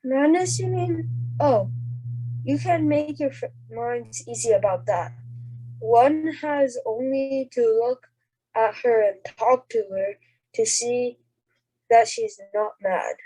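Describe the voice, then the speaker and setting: A woman reading the part of a thoughtful, logical professor in an amateur radio play, with a measured, questioning, emphatic manner. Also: on the high side, warm tone, slightly rough, rough recording. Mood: grave, deliberate. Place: indoors, on a group video call.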